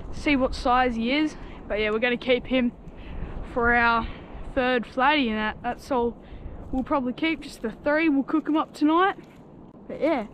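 A teenage boy with an Australian accent hosting a fishing vlog, chatting excitedly on a surf beach into an action camera. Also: very high-pitched, poor audio. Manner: excited, shouts when fish are hooked. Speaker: fishing channel host. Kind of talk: casual vlog chat. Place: outdoors on a sandy surf beach. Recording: windy outdoor action-cam audio.

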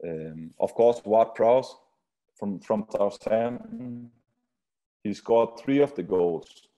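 Man, non-native english speaker, halting restarts, mellow voice, video call audio. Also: lowish voice, muffled recording. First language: Swiss German. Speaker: set-piece coach. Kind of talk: webinar talk.

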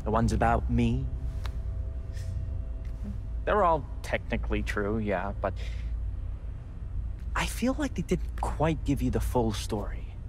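A smug, menacing tech villain in a game cutscene. He takes his time in an average-pitched voice, pausing theatrically and talking down to his listener. Music plays behind him. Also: sing-song, low-quality recording. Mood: smug explaining. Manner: smooth, condescending, theatrical pauses. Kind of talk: video game cutscene acting. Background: cinematic music.

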